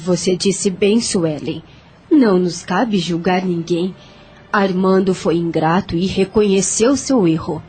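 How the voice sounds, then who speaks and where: A woman playing a calm, wise counselor of spirits in an audio drama, with a husky voice and measured, soothing moralizing. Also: fairly low pitch, close to an everyday voice. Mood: calm, counseling.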